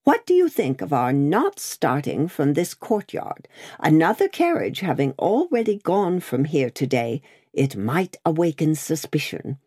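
A woman's voice: sits low, very animated, measured pace, darkish tone, husky, full character voice. A woman voicing an agitated, prim and devoted Englishwoman in a storybook reading, urgent and tearful. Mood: anxious. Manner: urgent, exclaiming, prim and formal.

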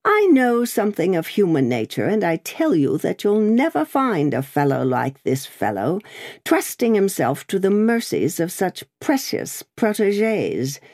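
A woman voicing a blatant, bullying gentleman who hectors and scorns in a raised, blustering voice. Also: mid-range voice, sing-song, unhurried, no echo, studio quality, voice pushed up. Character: blatant bullying loud-mouthed gentleman, adult man.

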